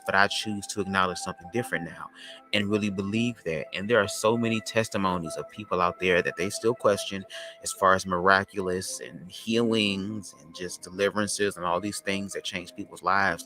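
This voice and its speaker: A man with a low, raspy voice, hosting a podcast as a loose, slangy spiritual monologue. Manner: loose, slangy, drawn-out talk. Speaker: podcast host.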